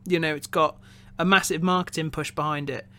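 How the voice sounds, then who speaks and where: A man with a bright, keen-edged voice chats as co-host of a casual review podcast. He thinks aloud, often restarting and repeating words before finishing a point. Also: fairly high pitch, steady tone, fairly quick. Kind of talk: casual film review discussion.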